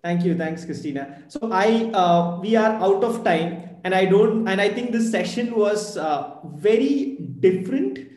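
Young moderator in an echoey room. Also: male voice, high-pitched, a little mellow. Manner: hurried, thankful, hesitant fillers. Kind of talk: closing a video call panel. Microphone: webcam on video call. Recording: roomy echo on call audio.

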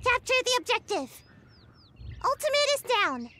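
A woman's voice: very high-pitched, very animated, measured pace, very noisy, heavily acted. A woman voicing a cute, cheeky young fox-girl champion in a video game, with perky, playful short lines. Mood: brisk, commanding.

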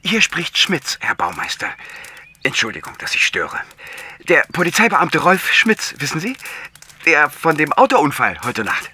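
A very sharp, forceful voice for a male country policeman in a crime radio play. He explains chattily and matter-of-factly, his pitch swinging widely, with faint noise behind. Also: big pitch swings, unhurried, exaggerated voice. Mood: eager, informative. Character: talkative, matter-of-fact male village policeman. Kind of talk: radio play phone dialogue.

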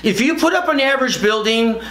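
An older man giving an emphatic, salesy pitch straight to camera in a studio, gesturing as he talks. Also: animated, brisk, fairly bright tone, echoey room. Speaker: franchise company founder. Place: indoor studio with plain gray backdrop.

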